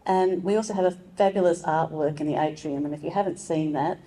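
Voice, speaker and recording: Woman MC, lowish voice, mellow, chatty and self-deprecating, lots of ums. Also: a little fast, low-quality recording. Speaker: university librarian and MC. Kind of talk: event hosting and housekeeping announcements.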